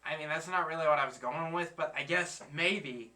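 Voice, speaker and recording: Bright-voiced young man, heard from afar. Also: on the high side, recorded from a distance. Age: 20s. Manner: halting, searching for words. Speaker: reaction channel host. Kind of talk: casual chat.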